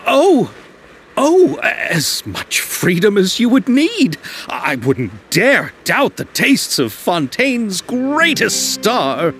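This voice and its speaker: A man playing an earnest, eager film producer in a video game, hesitant and flattering toward others. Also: high voice, slow, soft background music, exaggerated voice.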